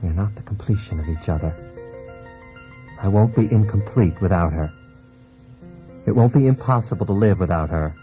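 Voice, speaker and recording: An actor playing an anguished, dependent husband in a failed marriage, in a brooding, introspective radio drama monologue. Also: male voice, deep voice, normal speed, long pauses, dark tone, soft background music, lightly acted. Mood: resolute, conflicted.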